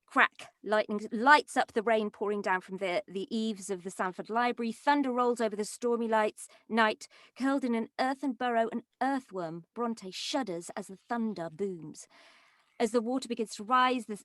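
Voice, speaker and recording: Higher-pitched woman narrating a script. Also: normal speed, a bit bright, no room sound. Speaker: session leader reading stage directions. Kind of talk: scripted narration at a table read. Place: online call from indoor recording setups.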